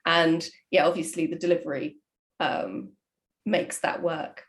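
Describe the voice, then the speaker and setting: A woman, a writer, talking casually and explaining her craft with animated emphasis. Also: low voice, unhurried. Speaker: audio drama writer. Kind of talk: casual discussion of writing.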